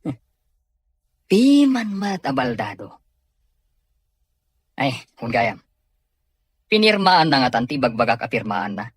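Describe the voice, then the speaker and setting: Haughty male villain cousin for audio drama man acting, animated, husky, sneering, dry studio sound. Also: mid-range voice, slow, long pauses, dry recording, full character voice. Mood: mocking, haughty. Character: sneering, domineering cousin looking down on servants. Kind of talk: Ilocano radio drama acting.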